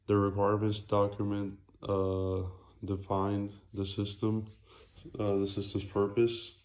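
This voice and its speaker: Young man, raspy low monotone, hesitant presenter. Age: young adult. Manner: hesitant, halting, self-correcting. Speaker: student presenter. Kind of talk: informal project presentation.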